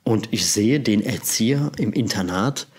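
Low-voiced man hosting a chat podcast. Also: unhurried, slightly rough. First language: German. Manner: animated storytelling, imitating phrases. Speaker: podcast host. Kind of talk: casual conversation about language learning.

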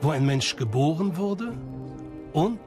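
A man narrates a documentary from a script. His voice sits on the high side for a man, and music plays clearly behind him. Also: lively intonation, measured pace.

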